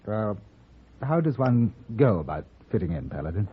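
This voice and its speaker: Genteel English earl, lively pitch. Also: male voice, moderate pace. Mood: earnest, chastened. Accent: British, upper-class English. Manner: polite, hesitant, genteel. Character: naive, eager, well-bred English earl out West. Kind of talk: old-time radio western acting. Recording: narrow-band, faintly noisy old radio recording.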